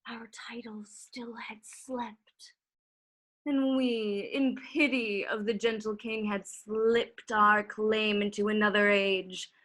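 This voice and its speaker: Woman playing a proud, bright-voiced young royal claimant. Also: on the high side. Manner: declamatory, haughty, measured verse delivery. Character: Edward, proud defiant young male claimant to the throne. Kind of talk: Shakespearean staged reading. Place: remote reading over a video call.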